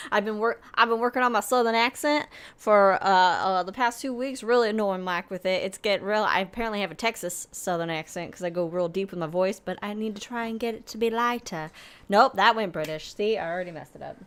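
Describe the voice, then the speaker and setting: A woman's voice: mid-range voice, a little fast, fairly bright tone, clear, resonant tone. Woman, podcast co-host, casual rambling chat, mid-range voice. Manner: chatty, rambling, laughs easily.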